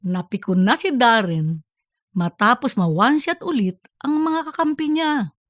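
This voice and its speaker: A woman's voice: on the high side, expressive, measured pace, no room sound, clean studio sound. A woman reading scripted narration for a comedic fiction story. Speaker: audiobook narrator.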